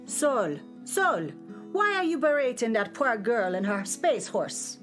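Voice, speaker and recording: Gentle old lady star. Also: fairly high pitch, slow, soft background music. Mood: soothing. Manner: gentle, soothing, calm. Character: kind silver-haired old lady star. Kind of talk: children's radio play acting.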